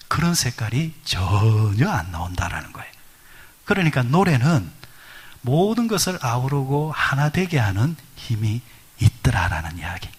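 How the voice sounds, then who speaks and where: A man's voice: mid-range voice, slightly rough. A middle-aged man preaching a sermon at a midweek prayer service. He talks conversationally, asks the congregation questions, and uses many fillers. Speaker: pastor.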